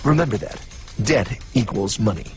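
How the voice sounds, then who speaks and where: A man's voice: lowish voice, lively intonation, moderate pace, natural voice. A man voicing a cocky, sardonic guide in a cartoon, narrating in a theatrical, mock-solemn, condescending way. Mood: knowing. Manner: mock-solemn, wry, condescending, calls listener pal. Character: cocky, sardonic male guide, tough old schoolmate turned narrator. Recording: music behind the voice.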